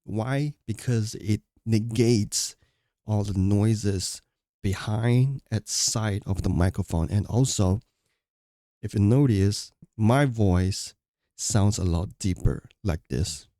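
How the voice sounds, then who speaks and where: A man with a fairly low voice talks through a tutorial informally. He explains things casually and repeats points for emphasis, speaking into a studio mic in a home room. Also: slow pace, dark tone. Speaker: audio tutorial presenter. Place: small untreated home room with furniture. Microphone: close studio microphones, condenser then dynamic.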